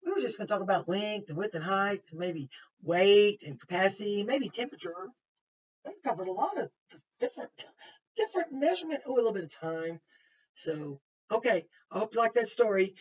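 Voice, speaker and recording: A woman's voice: medium pitch, very animated, fairly quick, breathy edge. A woman kindergarten teacher reading a picture book aloud to young children, with simple explanations and chatty asides. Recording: muffled, distant microphone.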